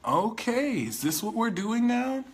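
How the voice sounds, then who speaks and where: A man voicing a smug, dark male spirit that claims to live inside him and take over his broadcast, first mocking and then hushed. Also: sing-song, measured pace, slight background noise, exaggerated voice. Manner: mocking, then low and conspiratorial.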